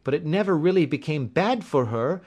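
A man's voice: fairly high pitch, animated, normal speed, a little mellow, voice pushed up. A man voicing a gaunt, blunt, aggrieved servant woman with plain-spoken, deferential phrasing in a story reading. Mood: earnest, aggrieved.

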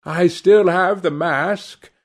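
A man's voice: high-pitched, takes it slowly, pitched above the performer's own voice. A man voicing a witty, coquettish aristocratic duchess in an audiobook, in an arch manner. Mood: wistful, arch.

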